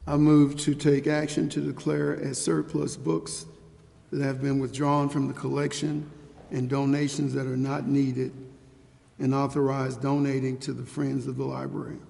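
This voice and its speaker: A man's voice: medium pitch, monotone, normal speed, gravelly, warm tone. A man speaking as a council member, putting motions and questions in a meeting. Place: indoor council chamber. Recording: reverberant council chamber.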